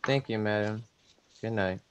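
A man's voice: fairly low pitch, unhurried, clear, resonant tone, lo-fi sound, natural voice. A man playing a businesslike telegraph clerk in a radio thriller, formal and matter-of-fact. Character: businesslike male telegraph clerk reading a message. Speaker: actor in a student radio play.

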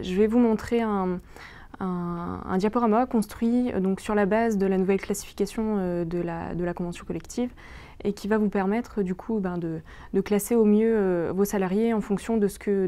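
A young woman presents a webinar, walking through material on screen. Her voice is soft-edged and mellow, at an ordinary female pitch. She speaks haltingly, feeling her way through the explanation with frequent pauses. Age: young adult. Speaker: presenter explaining labour agreement changes. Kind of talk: webinar slide walkthrough, semi-improvised explanation.

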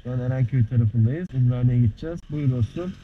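A man's voice: medium pitch, quick pace. A man chatting casually as he rides a scooter through city traffic, explaining the bike as he goes. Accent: Istanbul Turkish. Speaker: motovlogger. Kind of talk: casual riding commentary. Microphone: helmet-mounted action camera. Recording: muffled action-camera audio with faint traffic noise.

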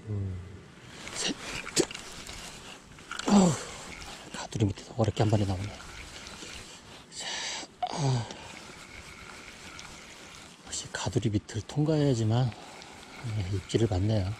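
A man's voice: fairly low pitch, unhurried, long pauses. Middle-aged man chatting over outdoor noise. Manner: chatty, filler-heavy, frequent "예". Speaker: fishing vlogger. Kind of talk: casual fishing commentary. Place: outdoors at a seaside fishing pond.

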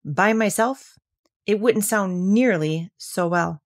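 A woman's voice: mid-range voice, slow pace. A little male piglet from a storybook as a woman plays him, timid and anxious. He talks hesitantly, as if unsure of himself. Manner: hesitant, worried.

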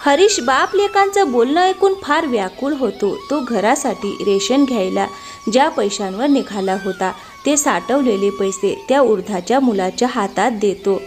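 A woman narrates a story from a script. Her voice sits a little high, and music plays softly behind her. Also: expressive, moderate pace.

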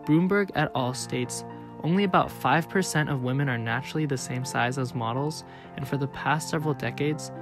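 A young man narrating a student documentary in an even, scripted reading voice. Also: medium pitch. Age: young adult. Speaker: narrator and interviewer. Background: guitar music.

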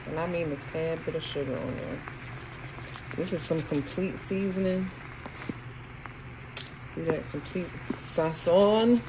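A woman's voice: deep voice, very noisy. A woman vlogger, chatting casually to herself and her viewers while cooking in her home kitchen.

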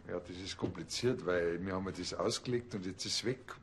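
A man's voice: deep voice, a little fast, a little hiss or hum. An actor playing a cheeky, evasive small-town policeman with a Bavarian accent in a TV crime comedy. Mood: explaining.

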